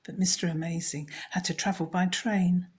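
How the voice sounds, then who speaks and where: Low-pitched woman reading a children's story. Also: fairly even intonation, moderate pace.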